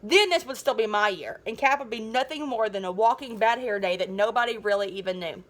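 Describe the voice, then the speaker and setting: A woman reading a children's novel aloud as a teacher, in an animated, dramatic and informal way. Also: on the high side, a little fast. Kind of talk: expressive children's book read-aloud.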